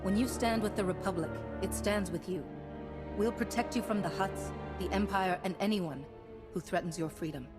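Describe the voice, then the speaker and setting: A woman's voice: medium pitch, moderate pace, over music, lightly acted. A woman playing a steadfast, noble warrior-monk hero in a video game, composed and earnest. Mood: calm resolve. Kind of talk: scripted video game acting.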